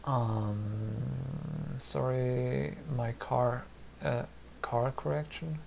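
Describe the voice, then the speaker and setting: A man from Germany with a German and Polish accent talks casually to his live chat from a home desk, thinking aloud with plenty of fillers. His voice has a gravelly, creaky edge at an ordinary pitch. A faint hiss sits behind him. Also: fairly even intonation, drawn-out words, a little mellow. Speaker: streamer answering chat. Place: small home studio at a desk.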